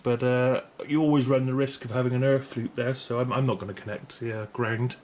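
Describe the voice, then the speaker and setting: A man's voice: mid-range voice, steady tone, fairly quick, a little mellow. British man rambling casually, noisy recording. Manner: rambling, tentative, self-correcting. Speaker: video host reviewing gear. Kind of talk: casual unscripted product review. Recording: clear background noise, room ambience.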